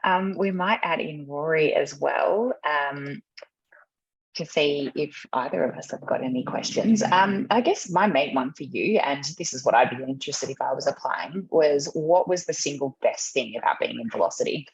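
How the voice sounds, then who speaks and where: A woman with a fairly low, husky voice moderates an online session in an informal way. The sound is a little dull and lo-fi, like a video call. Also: normal speed. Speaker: session host.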